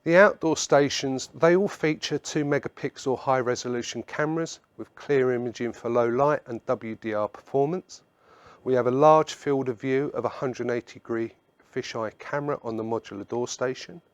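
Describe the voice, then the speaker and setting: A man presenting a product webinar in a measured, instructional way, explaining slides point by point. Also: mid-range voice, dry recording, lo-fi sound.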